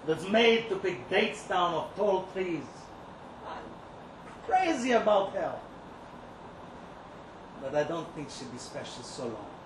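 A man performing a dramatic first-person story as a bitter, lovesick young kibbutz kitchen worker, brooding and sardonic, with dramatic pauses. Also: fairly high pitch. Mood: bitter, yearning. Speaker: reader at a live literary event. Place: indoor live reading venue. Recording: roomy live event recording, clear background noise.

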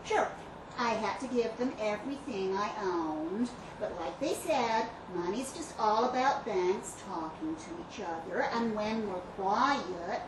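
A woman's voice: mid-range voice, lively intonation, unhurried, natural voice. A woman playing a naive, easygoing young former cult member with vague, filler-heavy slacker talk, in a live story reading. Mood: dreamy, blithe. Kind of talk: dramatic monologue read at a live story event. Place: live reading in a pub function room. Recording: echoey room, distant mic, noisy ambience.

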